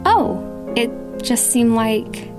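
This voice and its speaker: A woman voicing a polite, formal young heiress, shyly fond, in an animated fan series. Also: fairly high pitch, drawn-out words. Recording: background music under the voice.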